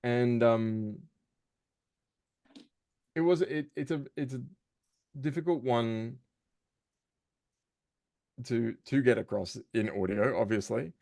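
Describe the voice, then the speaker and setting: A man's voice: medium pitch, slow, gravelly, long pauses, a little mellow, no room sound, clean studio sound. A man who narrates audiobooks and does voice work, chatting casually in an interview and thinking aloud with frequent fillers and restarts.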